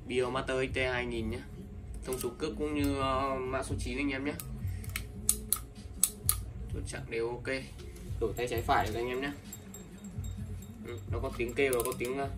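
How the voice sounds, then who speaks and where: A man selling used fishing reels in a casual, repetitive sales talk, filling pauses with ums and calling his buyers brothers. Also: medium pitch. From Vietnam. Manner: repetitive, many fillers, addresses buyers as brothers. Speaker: fishing tackle seller. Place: indoors, table of fishing reels. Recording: distant mic, music playing behind.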